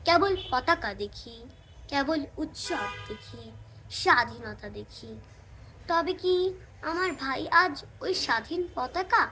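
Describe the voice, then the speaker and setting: A woman reciting poetry in a dramatic, expressive and deliberate manner, drawing out phrases with pauses. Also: sits high. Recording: clearly noisy recording with ambient sound.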